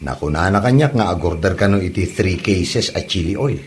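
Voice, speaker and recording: A man's voice: low voice, animated, normal speed, a little hiss or hum. A man playing an easygoing, helpful working man in a comedy audio drama, casual and agreeable, in Ilocano.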